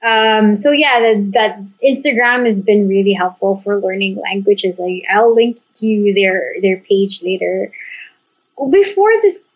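A woman's voice: medium pitch, measured pace, slightly rough, rough recording. A woman hosting a podcast, chatting casually and asking curious follow-up questions. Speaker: podcast host. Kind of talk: casual interview chat.

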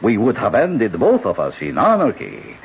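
An actor playing a suave, scheming older generalissimo with an urbane, oratorical manner in a radio drama. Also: male voice, on the low side, huge pitch swings, normal speed, creaky, husky, heavily acted. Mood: smooth, pompous. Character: suave, scheming elder generalissimo, falsely courteous. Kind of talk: old-time radio drama acting. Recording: dull old radio broadcast.

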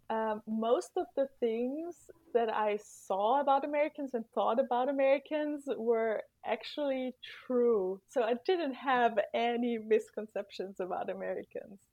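A young woman from Austria, a former exchange student, answering podcast interview questions in a thoughtful, earnest way with soft laughs and fillers. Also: fairly high pitch, dry recording, lo-fi sound. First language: German.

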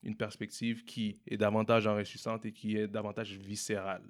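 A young man from Montreal with a Québécois French accent, answering interview questions in a relaxed way, often repeating and restarting words. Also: lowish voice, brisk. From Montreal, Quebec. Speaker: entrepreneur guest. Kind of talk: casual interview conversation.

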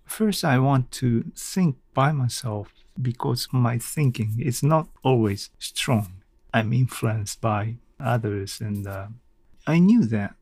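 Solo podcast host: male, non-native English, unhurried and halting, thinking out loud, very dry recording. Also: mid-range voice, no room sound. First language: not English. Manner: halting, thinking aloud in short phrases. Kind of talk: unscripted solo reflective podcast talk.

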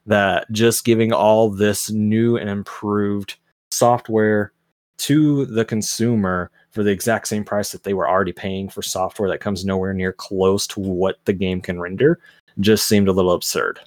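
A man with a lowish voice co-hosting a gaming podcast, reading headlines in a measured, explanatory way. The recording is dry and close, with no room sound. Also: fairly even intonation, dry recording. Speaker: podcast co-host reading news. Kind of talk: casual gaming news chat.

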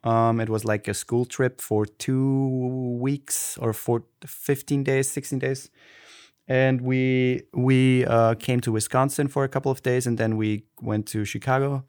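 A man's voice: medium pitch, flat delivery, unhurried, a little mellow, smooth, dry recording, studio quality. A man from Austria with an Austrian German accent, co-hosting a casual podcast chat and often restarting as he searches for words. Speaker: podcast co-host.

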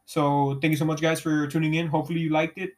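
A young man with a fairly high, somewhat raised voice hosts a loose, unplanned podcast. He talks in easy slang, peppered with "bro" and cursing. He laughs often as he goes. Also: little pitch movement, quick pace. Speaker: podcast host. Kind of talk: casual chat with heavy swearing.

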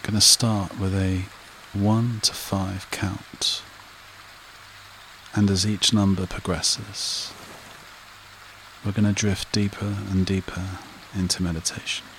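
A man leading a guided meditation and hypnosis in a soothing, repetitive manner with short phrases and long pauses. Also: low voice, a little hiss or hum, low-quality recording. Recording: rain and running water behind voice. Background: steady rain and stream sounds.

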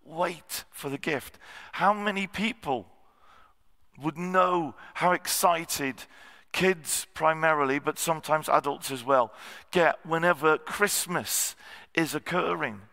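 A man, a guest preacher with a slightly husky voice, giving a sermon with many ums and cleared throats. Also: on the high side, takes it slowly, pushed and bright. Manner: self-deprecating, frequent ums and throat clearing. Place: church hall. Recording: long church hall reverb.

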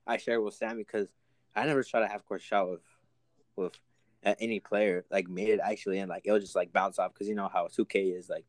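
Brief: young guy co-host, relaxed and laughing, lowish voice, dry close sound. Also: fairly quick, dry recording. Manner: easygoing, laughs often, filler-heavy. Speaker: podcast co-host. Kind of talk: casual chat.

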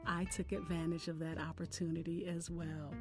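A woman hosting a podcast, delivering scripted announcements and poetry in a deliberate, expressive way. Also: fairly low pitch. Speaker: podcast host. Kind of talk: scripted show sign-off and poetry recitation. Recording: music bed under the voice. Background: music.

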